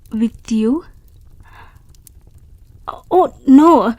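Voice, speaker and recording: A woman voicing a shy, grateful young female wolf creature in an audio roleplay, speaking softly and politely and stammering when unsure. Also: fairly high pitch, no echo. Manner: soft, polite and hesitant, with stammers.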